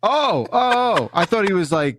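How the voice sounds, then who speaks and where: A man co-hosting a podcast, chatting casually and jokingly in an expressive, emphatic way. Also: sits high, normal speed. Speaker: podcast co-host. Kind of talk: casual comedic group chat.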